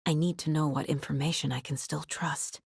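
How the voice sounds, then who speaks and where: A driven, analytical female investigator in a dramatic video game. Her voice is low and husky, with terse, measured phrasing, as if reasoning through a case. The recording is very dry, with no room around the voice. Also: fairly even intonation, darkish tone, no echo, heavily acted. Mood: focused, pensive. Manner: measured, terse, pensive deduction. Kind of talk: dramatic game dialogue, introspective investigation lines.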